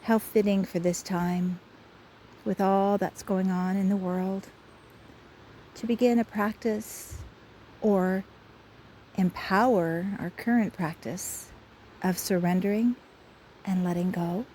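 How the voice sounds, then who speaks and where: Woman, guided imagery, unhurried, soft mellow tone, soothing, measured pauses, faint outdoor river ambience. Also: mid-range voice, steady tone, a little hiss or hum. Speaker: guided imagery host and soul coach. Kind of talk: guided meditation talk. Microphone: phone.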